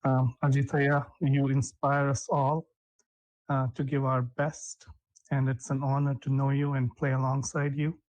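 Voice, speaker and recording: A man's voice: mid-range voice, flat delivery, unhurried, a little mellow, poor audio. A man reading a recorded tribute message steadily, with some filler sounds. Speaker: teammate sending a message.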